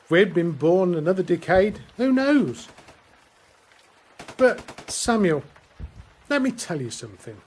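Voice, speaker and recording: An actor playing a grim, weary First World War soldier counselling a lad in a radio drama, measured and earnest with pauses. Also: male voice, high-pitched, very animated, a little mellow, breathy edge, heavily acted. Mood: earnest, fatherly.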